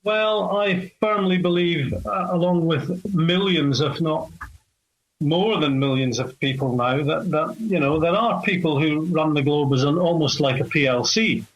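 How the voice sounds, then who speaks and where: A man's voice: on the high side, rough recording. Hesitant Scottish man in his sixties. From north of Scotland. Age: 60s. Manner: measured, hesitant, many fillers and restarts. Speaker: caller. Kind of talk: phone-in radio talk.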